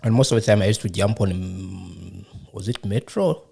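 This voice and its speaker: A middle-aged man from Uganda, with a fairly low voice, speaks as an interview guest. He talks at an unhurried pace and often stops on fillers while he recalls details. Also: creaky, dark tone, slightly rough. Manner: unhurried, hesitant with frequent fillers. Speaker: guest, charity founder. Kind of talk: interview answers.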